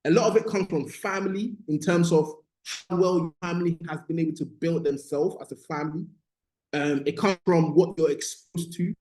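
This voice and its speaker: High-voiced male coach in echoey room. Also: fairly even intonation, normal speed, a little mellow. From born in Ghana, moved to the UK at eight. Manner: emphatic, repeats key phrases, checks in with "right?" and "okay?". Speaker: business mindset coach leading a course. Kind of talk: motivational teaching in a live online session. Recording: roomy, echoing sound.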